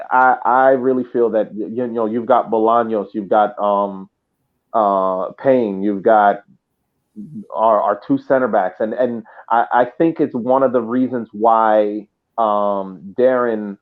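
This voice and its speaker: A man co-hosting a sports podcast, giving casual analysis haltingly and repeating words as he thinks. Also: mid-range voice, narrow, tinny audio. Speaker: podcast co-host.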